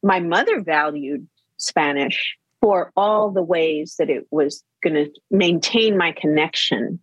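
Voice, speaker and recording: A middle-aged woman, an author and podcast co-host, talking casually and telling stories in a lively way. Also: low-pitched, slow, slightly rough, no echo, lo-fi sound. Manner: lively storyteller, laughs easily.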